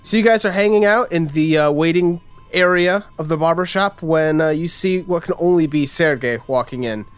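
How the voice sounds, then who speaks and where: A man's voice: on the high side, normal speed, clean tone. A man improvising scene narration as the game master of a tabletop roleplaying game.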